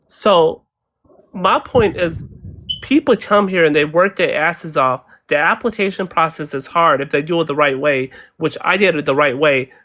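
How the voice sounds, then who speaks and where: A man's voice: a little high, fairly bright tone. A man speaking as a guest on an online debate panel, talking earnestly and restarting his sentences often. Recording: dull lo-fi audio.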